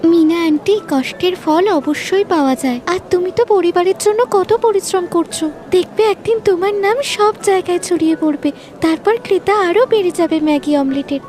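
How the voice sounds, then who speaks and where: A woman's voice: very high voice, normal speed, darkish tone, faint music behind, voice pushed up. A woman voicing an eager, encouraging young woman in a moral-story cartoon. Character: earnest young woman, eager social media food fan.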